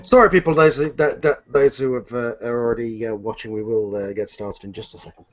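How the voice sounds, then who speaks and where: A man's voice: mid-range voice, fairly even intonation, fairly quick, slight background noise. A man hosting a live online Q and A in a casual, unscripted and slightly flustered, rambling way, over a video call. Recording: muffled lo-fi internet video call.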